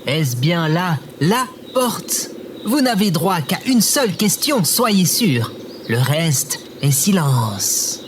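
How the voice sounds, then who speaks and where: Slow, pompous, melodramatic little robot oracle. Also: male voice, fairly high pitch, big pitch swings, noisy background. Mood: grandiose. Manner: overdramatic, pompous, archaic declamation. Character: small yellow robot hamming up a Shakespearean oracle. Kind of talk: theatrical scripted audio drama.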